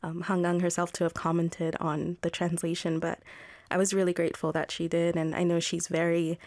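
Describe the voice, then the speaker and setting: A woman, a literary translator, answering interview questions in a thoughtful, measured way with frequent pauses. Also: lowish voice, monotone, a little mellow, no room sound. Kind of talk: interview conversation.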